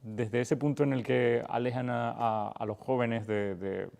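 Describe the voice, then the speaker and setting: A man hosts a podcast interview, asking long, carefully built questions with halting pauses. His voice has a dark, mellow tone at an ordinary pitch. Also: fairly even intonation, creaky. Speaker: podcast host.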